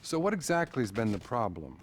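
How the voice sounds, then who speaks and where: An actor playing a patronizing male therapist, measured and placating, in a naturalistic video game style. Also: mid-range voice, sing-song, a little hiss or hum, natural voice. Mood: soothing.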